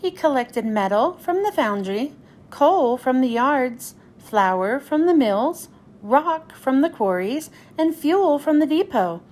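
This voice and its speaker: A woman reading a children's storybook aloud as narrator, in an expressive, deliberate way. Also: sits high. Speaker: children's book read-aloud host.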